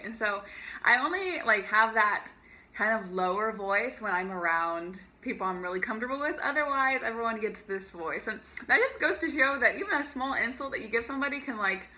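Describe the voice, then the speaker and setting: A young woman chats to camera with a peppy, upbeat energy, filling her story with "like" and quick laughs. Her voice is slightly high and very bright. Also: animated. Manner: chatty, laughs often, lots of fillers; peppy raised voice over a lower natural one. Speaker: vlogger. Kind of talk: casual storytime monologue.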